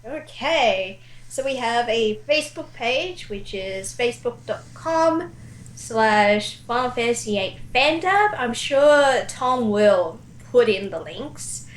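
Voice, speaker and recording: Australian woman, echoey, slightly high voice. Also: animated, takes it slowly. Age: around 30. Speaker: guest, animator and amateur voice actor. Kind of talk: casual interview chat. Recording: echoey room, faint noise.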